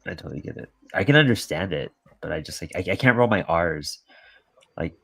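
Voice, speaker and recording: A man's voice: sits low, expressive, a little fast, vocal fry, a bit bright, breathy edge, no echo. A man chatting casually on a video call, laid-back with slang, often trailing off. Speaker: guest, comics creator. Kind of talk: casual chat.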